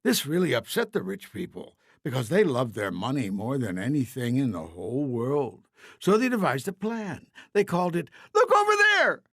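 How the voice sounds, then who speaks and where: A man's voice: medium pitch, very animated, normal speed, no echo, clean studio sound. A man narrating a scripted fairy tale in a simple, emphatic storybook style.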